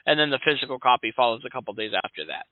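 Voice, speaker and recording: A man's voice: mid-range voice, big pitch swings, racing, no echo. Young male insurance agent on a dry phone line. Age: 20s. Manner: casual, friendly, filler-heavy. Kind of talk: phone sales call.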